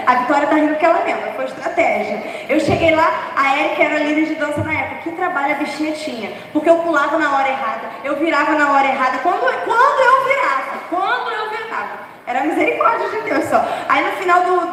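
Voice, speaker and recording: Hoarse woman preaching in an echoey church. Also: sits high, a bit bright, slight background noise. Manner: chatty and humorous, laughing at herself. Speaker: preacher from the dance ministry. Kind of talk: informal sermon. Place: large church hall. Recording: echoey church hall from far off.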